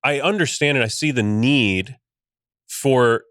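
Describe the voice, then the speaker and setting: A man's voice: medium pitch, measured pace, a bit bright, no room sound, studio quality. A bilingual Cuban-American man hosting a casual podcast discussion, thoughtful and careful with his words, with many fillers. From United States. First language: Spanish and English.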